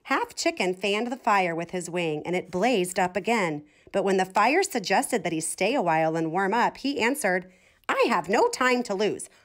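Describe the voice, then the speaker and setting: A woman reading a children's storybook aloud in an expressive style. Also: medium pitch, normal speed, hardly any pauses. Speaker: storybook reader.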